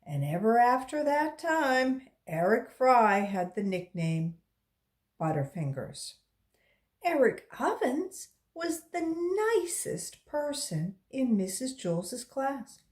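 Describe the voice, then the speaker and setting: A woman reading a children's storybook aloud with deliberate, expressive phrasing and brief asides to the listeners. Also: high voice. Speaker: volunteer children's story reader.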